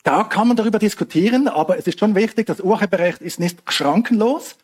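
A man's voice: high-pitched, brisk, a little mellow, slightly rough. A man from Switzerland, answering audience questions after a talk in an explanatory way. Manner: explanatory, hedging asides, frequent fillers.

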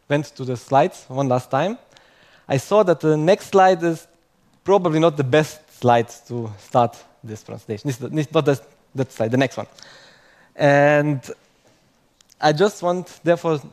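A man's voice: on the high side, a little mellow. Self-mocking male conference speaker, non-native. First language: not English. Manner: chatty, self-mocking, many "okay?" tags. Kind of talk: conference talk to a live audience.

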